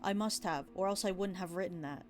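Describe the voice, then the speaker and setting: A woman's voice: fairly low pitch, brisk, soft background music, natural voice. A woman narrator voicing a self-pitying, defiant male defendant in a true crime documentary. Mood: flat, defensive.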